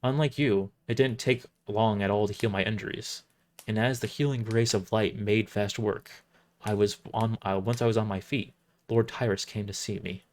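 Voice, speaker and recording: Audio drama role: kind big-sister healer woman, soft-mannered and caring, played by a man. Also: fairly low pitch, steady tone, moderate pace, slight background noise, natural voice. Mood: gentle, earnest. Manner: gentle, caring. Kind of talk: amateur audio drama character reading.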